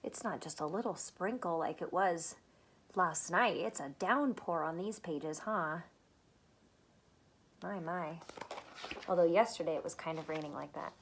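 A young adult woman, a teacher, reading a picture book aloud to small children with expressive delivery and simple chatty questions. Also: fairly low pitch, unhurried, pauses often, a little hiss or hum. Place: small furnished room at home, indoors.

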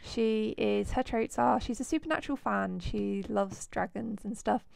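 A woman with a cold, hoarse and losing her voice, chatting casually as she shows off characters in a life-sim game. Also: on the high side, moderate pace, a little mellow, no room sound. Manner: chatty, rambling with fillers. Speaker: let's play host.

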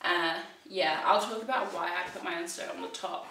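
An eighteen-year-old woman with a croaky voice from a cold, chatting casually to a handheld camera in a chatty, rambling way. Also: lowish voice, normal speed, pushed and bright, slight background noise. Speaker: parenting vlogger. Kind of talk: casual vlog talk to camera. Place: bright open-plan living room and kitchen. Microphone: handheld camera at arm's length. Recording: echoey room.

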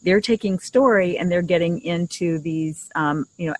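A woman's voice: on the low side, moderate pace, a little mellow, no echo, rough recording. A middle-aged woman co-hosting a podcast, explaining things conversationally into a desktop microphone outdoors. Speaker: podcast co-host. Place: outdoors in a leafy garden. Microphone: desktop condenser microphone shared between two.

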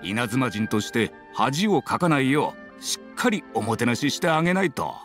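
A man playing a genial, courteous elderly village grandfather in a Japanese game, gentle and homely. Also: mid-range voice, very animated, normal speed. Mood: kindly. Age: older. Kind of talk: Japanese anime game voice acting. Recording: clear music behind.

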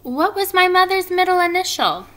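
A woman's voice: very high voice, very animated, moderate pace, bright, forceful tone, pitched above the performer's own voice, full character voice. A woman voicing tiny, friendly young female spiders in a children's storybook, dainty, chirpy and forthright. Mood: perky, curious. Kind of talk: children's storybook character reading.

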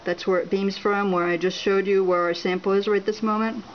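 A woman at a home filming a laptop screen, talking without a script and reading out measurement numbers with many pauses and fillers. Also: medium pitch, steady tone, lo-fi sound, slight background noise. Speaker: home radiation monitoring vlogger. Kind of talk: unscripted on-camera walkthrough. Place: indoors at a home, beside a laptop.

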